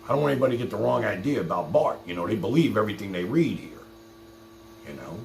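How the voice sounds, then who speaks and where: A man's voice: on the low side, big pitch swings, fast, gravelly, a little mellow. A man chatting casually as a live stream host, talking in a streetwise, direct way and taking calls. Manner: colloquial, streetwise, direct address. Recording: roomy, noisy live stream.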